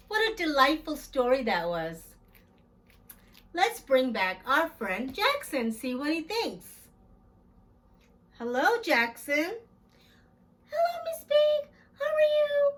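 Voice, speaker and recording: High, expressive woman reading to children. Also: unhurried. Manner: expressive, addresses young children directly. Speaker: children's story-time host and reader. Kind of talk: children's read-aloud.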